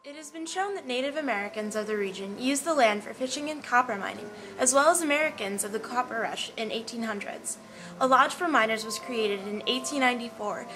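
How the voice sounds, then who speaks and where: A woman's voice: fairly high pitch, brisk, music in the background. A woman reading a scripted informational narration. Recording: noisy amateur recording with clear background noise.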